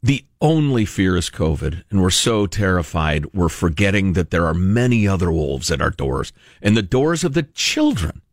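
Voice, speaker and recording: An American man hosting a talk show, reading aloud and commenting with emphatic, deliberate phrasing. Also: sits low, sing-song, no echo, studio quality. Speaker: radio talk show host.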